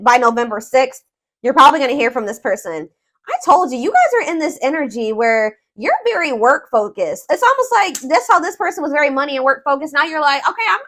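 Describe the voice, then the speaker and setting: High-voiced woman giving a casual tarot reading. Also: fairly bright tone. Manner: informal, conversational, searching for words aloud, emphatic. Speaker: tarot reader, host.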